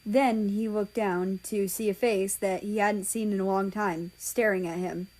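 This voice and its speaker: A woman's voice: medium pitch, steady tone, unhurried, a little mellow, low-quality recording. A woman reading a story aloud as scripted narration, an amateur audiobook reader.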